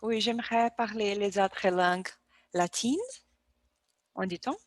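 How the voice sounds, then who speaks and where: A woman's voice: mid-range voice, fairly even intonation, a little slow, no echo, clean studio sound. A woman practicing French, hesitating, searching for words and repeating herself. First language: Portuguese. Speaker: language learner. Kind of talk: conversational language practice.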